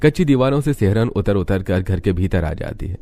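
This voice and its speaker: A man's voice: deep voice, animated, brisk, warm tone, slight background noise. A man reading scripted narration as the narrator of a cartoon story.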